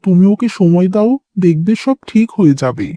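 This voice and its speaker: A man's voice: high voice, expressive, normal speed, dark tone, no echo. A man voicing an earnest, pleading young son in love in a cartoon drama, over music.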